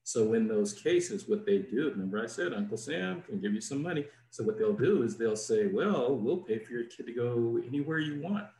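A man, a diplomat guest, answering questions in a plain, measured, explanatory way over a video call. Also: mid-range voice, expressive, warm tone, slight background noise. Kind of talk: informative answers in an interview. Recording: roomy echo.

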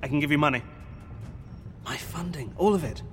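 An actor playing a posh, self-important professor in an audio drama, halting and easily flustered. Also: male voice, fairly high pitch, a bit bright, soft background music, natural voice. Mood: uneasy. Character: posh, self-important male professor, anxious archaeological explorer.

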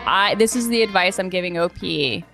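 A woman's voice: fairly low pitch, normal speed, creaky, fairly bright tone, faint music behind. A woman hosting a casual podcast in an upbeat, encouraging way. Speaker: podcast host.

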